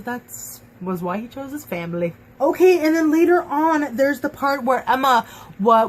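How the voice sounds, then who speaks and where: A young woman chatting excitedly in a casual book review vlog, full of fillers and restarts. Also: medium pitch, slight background noise, low-quality recording. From Mexican and Cuban heritage.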